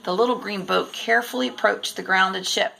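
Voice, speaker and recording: A woman reading a children's storybook aloud in expressive, deliberate narration. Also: medium pitch, gravelly, low-quality recording. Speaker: storybook reader.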